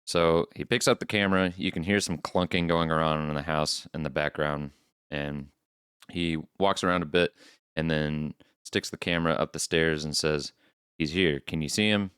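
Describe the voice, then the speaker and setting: A man with a very deep, smooth voice talks as a podcast co-host. He explains things casually and a little haltingly, in a dry, close-sounding recording. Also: fairly even intonation, a bit bright, dry recording. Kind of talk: casual recap of horror videos.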